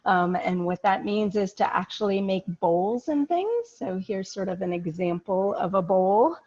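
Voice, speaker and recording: Hoarse, talkative woman oncologist, lo-fi call audio. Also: mid-range voice, expressive, unhurried, darkish tone. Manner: chatty, enthusiastic. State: hoarse, under the weather. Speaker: medical oncologist. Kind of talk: self-introduction on video call. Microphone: video call. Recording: dull lo-fi video call audio.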